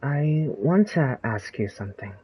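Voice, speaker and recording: A man's voice: mid-range voice, expressive, a little slow, a little hiss or hum. A man doing casual role-play over a video game, subdued and hesitant. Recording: dull, lo-fi microphone.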